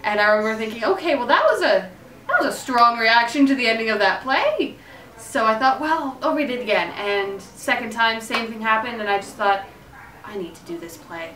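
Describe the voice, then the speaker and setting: A woman's voice: a little high, expressive, moderate pace, pushed and bright. A woman theatre director talking enthusiastically and off the cuff in an interview. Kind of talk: unscripted interview talk. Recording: roomy echo, distant microphone.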